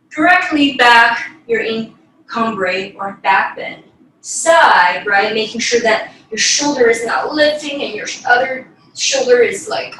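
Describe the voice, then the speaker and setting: A woman's voice: fairly high pitch, a bit bright, a little hiss or hum. A woman teaching a ballet class, calling out instructions and counts as she demonstrates the moves. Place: small bedroom, indoors. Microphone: far camera across the room. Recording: very echoey room, distant mic, music behind. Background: classical piano music.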